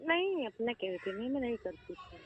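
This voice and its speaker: A woman's voice: fairly high pitch, very animated, moderate pace. A woman talking casually on a private phone call, in colloquial, often short answers. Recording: noisy phone call recording.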